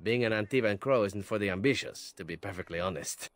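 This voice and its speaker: An actor playing a charming, flippant elven assassin in a video game, with a suave, wry, theatrical delivery. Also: male voice, fairly low pitch, quick pace, no room sound, natural voice. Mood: wry, candid. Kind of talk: video game character dialogue.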